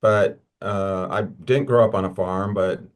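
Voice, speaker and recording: An older man speaks as an interview guest. His voice is very low. He talks conversationally, in short halting phrases. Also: fairly even intonation. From Illinois, United States. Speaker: guest, university soil scientist. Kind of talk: unscripted interview answer.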